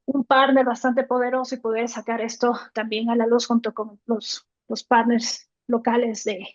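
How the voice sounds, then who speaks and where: Podcast co-host, woman, slightly high voice, step-by-step explainer with frequent tag questions; dry, dull lo-fi sound. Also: level tone, normal speed, dry recording. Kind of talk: explanatory podcast talk on tech.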